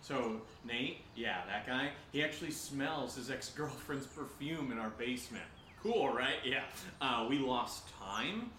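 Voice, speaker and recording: Actor doing fed up sarcastic young guy, bright swoopy voice, ranting and swearing, audio drama, echoey with faint hiss. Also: medium pitch, expressive, unhurried, few pauses. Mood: manic, sarcastic. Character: agitated, sarcastic young man fed up with paranormal events. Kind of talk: audio drama acting as a livestream rant.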